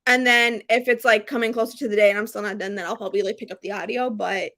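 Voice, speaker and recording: A woman hosting a book readalong, chatting casually and excitedly, with an emphatic, gushing way of repeating herself. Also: mid-range voice, quick pace. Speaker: host of a book readalong live stream.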